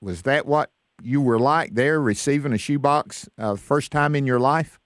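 A man's voice: medium pitch, normal speed, no room sound. Male radio host with a dry, close sound. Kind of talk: radio interview show hosting.

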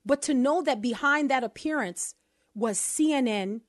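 A woman with a New Orleans accent speaks in a high, bright register, pressing her points with repeated questions and emphatic restatements. The recording is dry and close, with no room sound. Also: normal speed, no room sound. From New Orleans, USA. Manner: emphatic, piles up rhetorical questions and repetitions. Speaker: podcast co-host. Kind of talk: casual commentary chat.

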